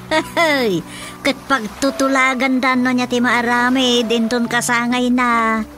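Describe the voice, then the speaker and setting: Chatty, fretful Ilocano wife. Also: female voice, a little high, steady tone, slow, fairly bright tone, slight background noise. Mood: chatty, informing. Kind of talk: Ilocano radio comedy drama acting.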